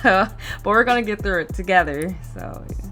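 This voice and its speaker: A woman's voice: medium pitch, lively intonation, normal speed, fairly bright tone, faint music behind. A woman hosting a reaction video, chatting and commenting in an informal way with short exclamations. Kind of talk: casual chat and commentary.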